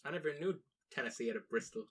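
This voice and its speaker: A young American man co-hosting a loose podcast conversation, voice in an ordinary middle pitch. He rambles, restarts his sentences and swears freely as he talks. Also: expressive, fast talker, fairly bright tone, slightly rough. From United States. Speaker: podcast co-host. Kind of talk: casual chat.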